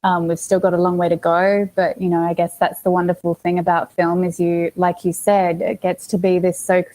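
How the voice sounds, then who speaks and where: A woman with an Australian accent chatting casually as an interview guest, often pausing mid-thought as she talks. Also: on the low side, dark tone, low-quality recording. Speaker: interview guest, actress and producer.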